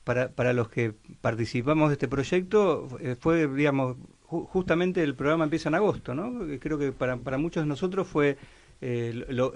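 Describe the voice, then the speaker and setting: Gravelly, hesitant Argentine man. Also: medium pitch. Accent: Argentine Spanish. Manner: hesitant, lots of verbal fillers. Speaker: radio show participant. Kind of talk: casual radio chat.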